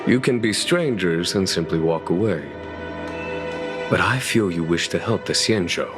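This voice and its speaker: Composed, low-voiced general over music. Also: male voice, expressive. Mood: genial, assured. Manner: measured, formal, diplomatic. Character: composed, courteous military general, shrewd and genial. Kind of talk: video game cutscene acting.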